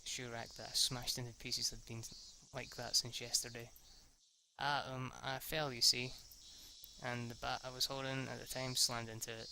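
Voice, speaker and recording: A young man reads a visual novel aloud in a casual, unpolished way, often tripping over words and restarting sentences. His voice sits at a middle pitch. Insects and crickets chirp clearly in the background of his homemade recording. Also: noisy background. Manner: reads haltingly, restarts lines and swears at slips. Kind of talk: casual let's-read narration.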